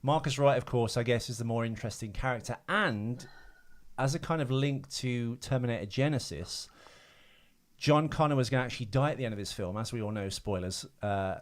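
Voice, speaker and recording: A man's voice: medium pitch, a little fast. A man co-hosting a podcast, chatting casually about films with sweary, joking banter. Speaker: podcast co-host. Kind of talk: casual film chat, trivia.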